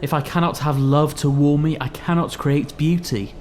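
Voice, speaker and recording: Man playing a despairing, high-pitched young poet. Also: fairly even intonation, moderate pace, a little mellow, a little hiss or hum, lightly acted. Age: young adult. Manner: earnest, declamatory, melodramatic. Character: Youth, a despairing, proud, lovelorn young male poet. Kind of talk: theatrical audio drama acting.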